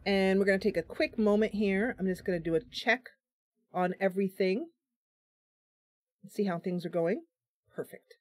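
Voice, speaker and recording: A woman's voice: medium pitch, moderate pace, pauses often. A woman hosting a game stream, giving casual live commentary and thinking aloud through her choices as she chats to viewers. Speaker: game streamer, host of the channel.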